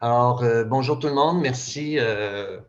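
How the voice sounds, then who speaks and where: A man's voice: mid-range voice, unhurried. A man of sixty-five with a Quebec French accent, speaking casually at a community panel, hesitant with many fillers and restarts. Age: 60s. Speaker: panel speaker, retired psychoeducator. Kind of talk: casual public talk. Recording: roomy, dull lo-fi sound.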